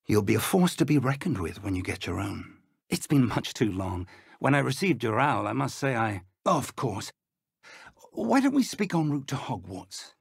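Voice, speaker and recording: An actor playing an elderly British wizard professor in a video game, an earnest, encouraging mentor with measured, formal speech and a gravelly voice. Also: male voice, medium pitch, very animated, no room sound, studio quality, exaggerated voice. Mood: measured, serious. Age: older. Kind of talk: video game character dialogue.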